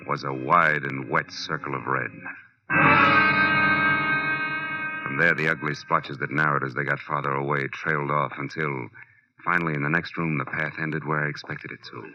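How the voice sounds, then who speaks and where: A man playing a tough, wry, insistent private detective with an American accent and clipped hard-boiled delivery in a 1940s radio drama. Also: extremely low pitch. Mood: wry, grim. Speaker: lead actor and narrator of a detective serial. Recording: dull old 1940s radio recording.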